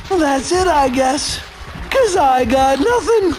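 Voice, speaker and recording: A man playing a goofy, cowardly, always hungry young slacker in a cartoon video game, with a reedy, cracking voice and slangy, drawn-out talk peppered with "like". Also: very high voice, expressive, noisy background, heavily acted. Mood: stumped. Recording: background music under dialogue.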